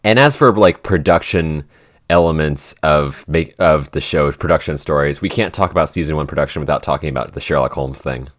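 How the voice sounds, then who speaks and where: A man's voice: rumbling low, fairly quick. A man co-hosting a podcast in casual chat, rambling and saying "like" a lot. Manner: rambling, heavy use of "like". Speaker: podcast co-host, show writer.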